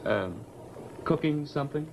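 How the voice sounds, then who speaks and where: A man's voice: on the high side, animated, unhurried, creaky. An actor playing a skeptical, reluctant male sidekick with dry, protesting wisecracks in an old-time radio drama. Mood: reluctant, joking. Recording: noisy old radio recording.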